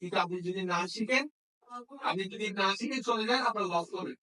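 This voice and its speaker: A man's voice: high voice, brisk, bright, forceful tone. A man teaching a hands-on repair class, often checking understanding with questions. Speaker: phone repair instructor. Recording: echoey room, distant microphone.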